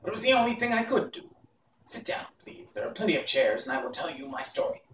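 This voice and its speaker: A man's voice: medium pitch, very animated, fast, fairly bright tone, far from the mic, a little hiss or hum. Man playing a sheepish old humbug wizard, echoey. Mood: sorrowful.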